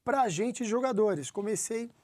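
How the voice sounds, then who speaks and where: A man from São Paulo, a football club executive answering interview questions in a measured way, often restarting his sentences. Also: sits high, expressive, a little mellow, no room sound. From São Paulo, Brazil.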